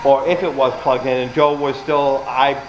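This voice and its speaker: A man's voice: mid-range voice, moderate pace, muffled recording. A man, a mechanic hosting a vlog, telling casual, rambling stories about shop work. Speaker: mechanic and storytelling host. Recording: noisy workshop, steady background hum.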